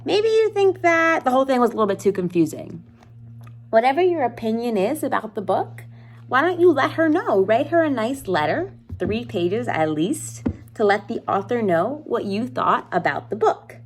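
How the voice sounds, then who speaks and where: A woman with a fairly high voice and very lively, swooping intonation. She talks like a schoolteacher guiding young students through a task, informal and encouraging, often putting questions straight to the listener. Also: moderate pace. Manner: encouraging, instructional, with rhetorical questions; informal, addresses listeners directly. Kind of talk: explaining an assignment to young students.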